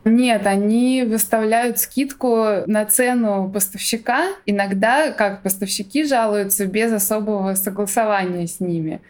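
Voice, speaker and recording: A woman's voice: mid-range voice, a little slow, hardly any pauses, a little mellow. A woman speaking as an interviewed guest, explaining things informally step by step with some hedging. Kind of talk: conversational explanatory interview talk.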